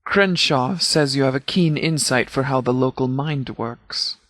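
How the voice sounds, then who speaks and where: An actor playing a stern, principled middle-aged sheriff in a video game, speaking in a formal, grave and deliberate way. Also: male voice, mid-range voice, a bit bright, no room sound, close to an everyday voice. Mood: approving. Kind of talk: scripted video game character dialogue.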